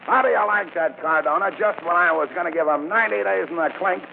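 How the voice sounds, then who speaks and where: Brash police commissioner, tinny old recording. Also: male voice, sits high, fast. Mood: exasperated. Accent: American. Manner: gruff, slangy, sardonic. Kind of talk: 1940s radio drama acting. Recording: tinny, noisy 1940s radio recording.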